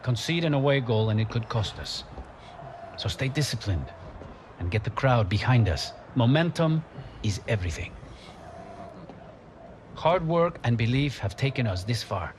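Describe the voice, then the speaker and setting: Casting: man to play earnest, stern football boss for a game, medium-pitched, measured team-talk delivery, some noise behind. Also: lively intonation, close to an everyday voice. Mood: rousing, focused. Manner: measured, deliberate speech-making. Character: stern, earnest male football manager rallying his team. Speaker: football manager. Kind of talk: scripted video game acting.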